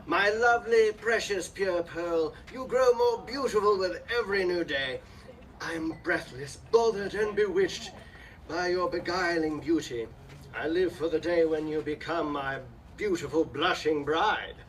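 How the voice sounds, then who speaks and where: A man's voice: a little high, unhurried, natural voice. A man acting a sly, pompous villain in hammy radio melodrama, savoring alliteration and taunting all who hear him. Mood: oily, lustful wooing. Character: sly gloating melodrama villain, male landlord of a tavern. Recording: distant stage microphone, noisy room.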